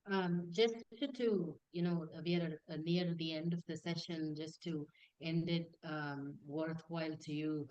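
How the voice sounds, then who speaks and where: Woman, low voice, mellow, polite moderator, online meeting. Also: steady tone, measured pace, clean tone, dull audio. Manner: polite, wrapping up. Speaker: session moderator.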